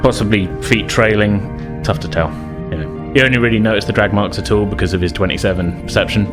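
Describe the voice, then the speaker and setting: Game master: man, lowish pitch, conversational and loosely improvised delivery over clearly audible music. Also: fairly quick. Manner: hesitant, conversational, hedging phrasing. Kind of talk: casual improvised tabletop game narration. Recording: music playing behind the voice. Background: background music.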